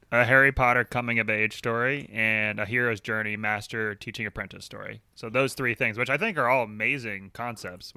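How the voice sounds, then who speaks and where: Deep-voiced male co-host, easygoing, crisp and bright tone. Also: dry recording. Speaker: podcast co-host. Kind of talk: casual book chat.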